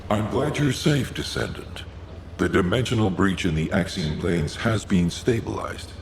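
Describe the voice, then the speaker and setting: An actor playing a solemn, calm adviser in a video game, gravelly and deliberate. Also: male voice, deep voice. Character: solemn, calm male adviser warning of the abyss. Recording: echoey with faint noise.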